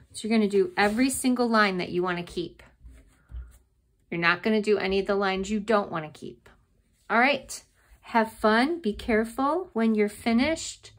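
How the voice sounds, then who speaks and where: A woman's voice: medium pitch, a bit bright. A middle-aged woman teaching a step-by-step drawing lesson to children, patient and encouraging, recorded at her desk on the camera mic. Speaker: art teacher.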